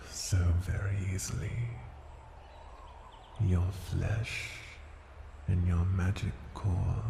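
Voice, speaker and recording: An ancient dragon, played by a man, speaks in a very deep, gravelly voice, drawing out each phrase extremely slowly. He is haughty and possessive, tenderly menacing in a formal, intimate fantasy ASMR roleplay, often sinking toward a breathy whisper. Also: echoey room, background noise, exaggerated voice. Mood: menacing, teasing. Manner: slow, deliberate, formal, condescending, often dropping to a breathy whisper.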